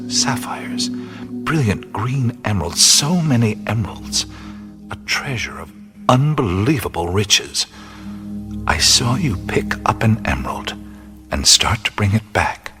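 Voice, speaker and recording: An actor playing a weary, reflective adventurer in a radio play, speaking slowly and often in a hush. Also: male voice, fairly low pitch, in a large hall. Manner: slow, reflective, often hushed. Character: wry, weary adventurer-mystic man. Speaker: lead character.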